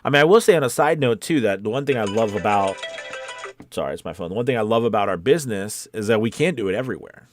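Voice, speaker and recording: Deep-voiced American man hosting a livestream. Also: lively intonation, quick pace, faint music behind. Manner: emphatic, repeats key points for encouragement. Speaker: host of a live stream coaching voice actors. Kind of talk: casual livestream chat reading out viewer comments.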